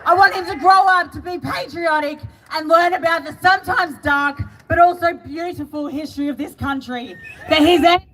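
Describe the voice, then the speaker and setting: A woman with an Australian accent giving an impassioned, emphatic rally speech, often raising her voice to a shout. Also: extremely high pitch, normal speed, low-quality recording. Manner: emphatic, shouting, chanting slogans. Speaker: political rally speaker.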